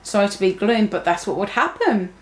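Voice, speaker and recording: A woman's voice: mid-range voice, animated, fairly quick. A young woman talking casually to her viewers, rambling with many fillers and restarts. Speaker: vlogger. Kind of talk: casual talk to camera. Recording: echoey room.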